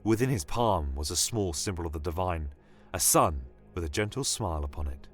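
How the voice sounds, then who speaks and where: A man telling a first-person fantasy story in measured, formal, scripted narration. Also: deep voice, lively intonation. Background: music.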